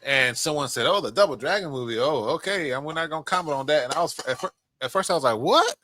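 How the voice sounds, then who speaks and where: A man's voice: fairly high pitch, lively intonation, fast, sharp tone, no echo. A man hosting a podcast in casual chat, swearing freely as he talks. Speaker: podcast host.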